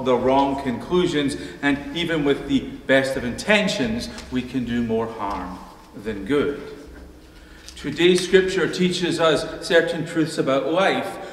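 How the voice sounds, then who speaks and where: Calm pastor, distant in an echoey church. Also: male voice, medium pitch, slight background noise. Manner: calm, measured storytelling with dry humor. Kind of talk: sermon. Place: church sanctuary, behind a pulpit.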